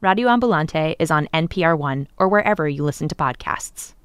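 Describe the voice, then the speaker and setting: A woman's voice: low-pitched, lively intonation, brisk, smooth, dry recording, studio quality. A woman announcer reading a scripted podcast promo.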